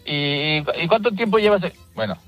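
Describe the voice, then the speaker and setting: A man's voice: on the high side, big pitch swings, normal speed, fairly bright tone, faint music behind. Easygoing male radio caller. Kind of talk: radio phone-in anecdote.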